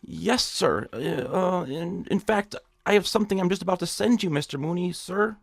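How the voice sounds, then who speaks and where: Whiny, high-pitched, creaky nebbish. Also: male voice, normal speed, no echo. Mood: nervous, meek. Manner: hesitant, whining, meek. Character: whiny, nebbish, hypochondriac male office worker. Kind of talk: comic audio drama acting.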